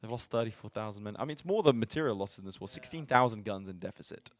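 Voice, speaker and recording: A man's voice: lowish voice, expressive, quick pace. An English man co-commentating a live strategy game stream, explaining things plainly and dropping wry jokes. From southern England. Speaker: livestream co-commentator.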